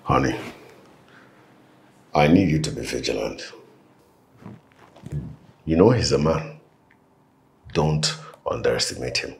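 A man's voice: a bass voice, animated, slow, gravelly, long pauses, full character voice. An actor speaking in a grave, warning tone to his partner.

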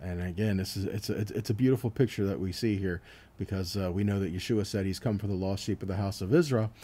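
A man's voice: low voice, fast talker, warm tone, husky. A man teaching scripture in a sermon, reading verses aloud and explaining them, often checking in with a short question. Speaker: Bible teacher.

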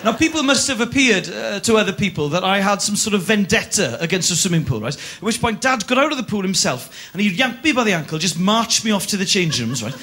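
A man's voice: high-pitched, sing-song, quick pace, few pauses. A young Welsh man whose first language is Welsh, telling a stand-up story on a theatre stage into a handheld mic, acting out voices, with many "uh"s and "right?"s. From Wales. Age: young adult. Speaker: stand-up comedian. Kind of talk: stand-up comedy routine. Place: large theatre stage before a live audience. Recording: TV studio audience recording with loud crowd and laughter. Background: audience laughter.

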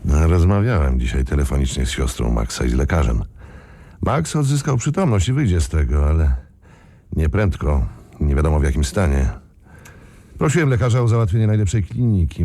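Deep-voiced male gang leader. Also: sing-song, fairly quick, dark tone, no room sound, heavily acted. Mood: businesslike, commanding. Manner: self-assured, organizing, giving orders. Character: calm, commanding male leader of ex-commando gang. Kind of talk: Polish radio crime play acting.

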